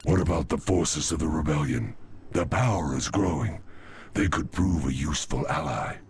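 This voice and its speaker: A man playing a grave, cautious alien adviser in a video game, gravelly, speaking in a measured, formal, precise way. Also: very deep voice, darkish tone, slight background noise. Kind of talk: cinematic video game acting.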